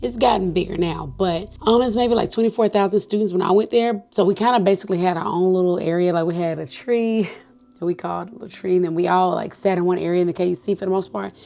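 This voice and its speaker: Chatty woman with gravelly tone. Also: lowish voice, quick pace. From Nashville, Tennessee. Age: 30s. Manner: chatty, bubbly, animated storytelling. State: slight sinus congestion. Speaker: vlogger. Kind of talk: casual get-to-know-me vlog.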